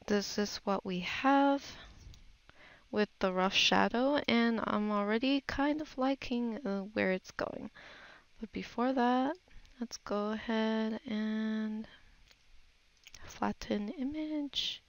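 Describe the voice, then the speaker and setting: A woman narrating a casual art tutorial, unhurried and musing aloud as she works. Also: on the high side, no room sound. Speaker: digital painting tutor.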